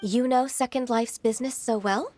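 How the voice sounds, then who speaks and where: A woman playing a high-voiced, composed secretary. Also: fairly even intonation, darkish tone, faint music behind, natural voice. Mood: courteous, shrewd. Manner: polite, measured, tactful. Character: gentle, composed young female secretary and mentor. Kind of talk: video game voice acting.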